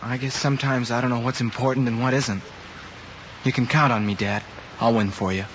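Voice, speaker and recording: A man's voice: mid-range voice, fairly even intonation, a little fast, lightly acted. Guarded young student played by a male actor. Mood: dutiful. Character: guarded, troubled student son. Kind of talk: mid-century American screen drama acting. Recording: muffled, noisy old film soundtrack.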